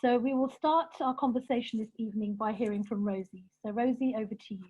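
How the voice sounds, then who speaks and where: A woman's voice: fairly high pitch, darkish tone, husky, dull audio. Earnest woman chairing an online panel. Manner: earnest, measured, with frequent fillers.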